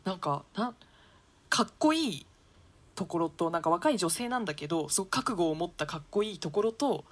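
A woman's voice: fairly low pitch, animated, a little fast. A woman chatting casually as a member of a theatre company. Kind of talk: casual chat.

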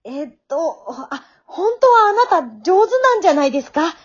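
A woman's voice: very high-pitched, sing-song, moderate pace, muffled recording. A woman acting a polite, frank young woman who makes puzzled, matter-of-fact remarks in an amateur radio drama.

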